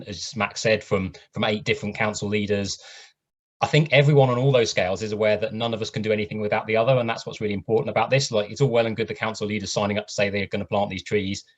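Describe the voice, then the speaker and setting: A man hosting an online event over a video call, talking earnestly with many fillers. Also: on the low side. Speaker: event host. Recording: muffled lo-fi video call audio.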